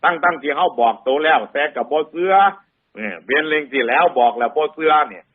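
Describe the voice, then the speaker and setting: Man as a frightened fable tiger, panicky, stammering, pleading, Isan accent, husky voice. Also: on the high side, expressive, fast talker, phone-quality sound. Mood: lamenting. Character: frightened panicky tiger fleeing an imagined monster.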